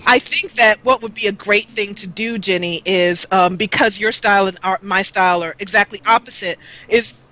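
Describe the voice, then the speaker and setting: A woman with a bright, forward voice chats in a relaxed conversational style. She speaks as a guest who makes gaming videos, and her tone has a clear edge to it. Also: normal speed, few pauses, poor audio. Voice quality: low-voiced. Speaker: guest, gaming video maker and role-player. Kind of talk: casual chat.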